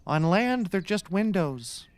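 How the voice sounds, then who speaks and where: A woman's voice: fairly low pitch, sing-song, slow, darkish tone, dry recording. A woman playing a reasonable, patient sidekick in a scripted comedy, tentative and matter-of-fact. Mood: placating. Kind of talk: scripted comedy audio acting.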